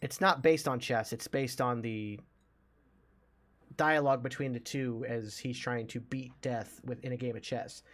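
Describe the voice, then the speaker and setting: Male podcast host, medium pitch, conversational and keen to explain, unscripted chat. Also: fairly even intonation, unhurried, fairly bright tone. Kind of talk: casual movie discussion.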